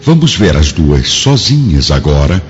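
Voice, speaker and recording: A man's voice: deep voice, very animated, a little slow. A man with a Brazilian accent giving solemn, formal, dramatic narration for a radio drama. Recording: dull lo-fi radio drama, music behind.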